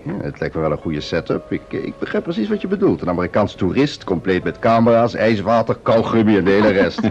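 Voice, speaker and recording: A man's voice: fairly low pitch, big pitch swings, fast, a little mellow, slightly rough, exaggerated voice. A man playing a breezy, joking middle-aged friend of a detective in a vintage Dutch radio drama. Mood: jovial. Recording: muffled old radio recording, music underneath.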